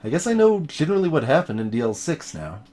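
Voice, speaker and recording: A man's voice: fairly high pitch, sing-song, quick pace, mellow, a little hiss or hum. A man doing a let's play, reading game dialogue dramatically with playful, wry asides. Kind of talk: dramatic read-aloud of game script with joking asides.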